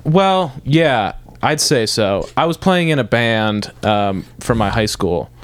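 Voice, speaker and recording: A man's voice: mid-range voice, lively intonation, takes it slowly, no room sound. A young man from Michigan, a guest musician, telling stories in a casual interview and rambling through restarts and fillers. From Michigan, north of Detroit.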